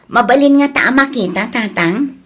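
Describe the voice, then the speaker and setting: A woman with an Ilocano accent acting a frank, scolding woman in a family audio drama, in a husky voice. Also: a little high, normal speed, natural voice. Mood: pleading, practical.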